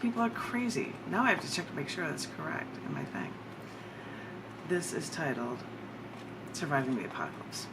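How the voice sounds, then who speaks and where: Over clear background noise, a woman speaks in a low-pitched voice as a poet presenting her own work. She moves between chatty introductions and live reading, with deliberate, dramatic pauses. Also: very animated. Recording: clearly noisy recording.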